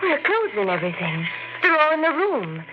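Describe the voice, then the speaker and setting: A woman's voice: high-pitched, sing-song, fairly quick. An actress playing an anxious, suspicious young woman in a 1940s radio drama, worried and insistent. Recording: dull lo-fi 1940s radio broadcast with hiss.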